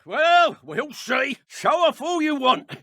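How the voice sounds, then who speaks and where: An actor voicing a cocky, sneering dark wizard thug for a video game, with a British accent and colloquial, taunting, menacing banter. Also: male voice, extremely high pitch, animated, slow, dry recording, studio quality, heavily acted. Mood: taunting, angry. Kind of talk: video game character voice clips.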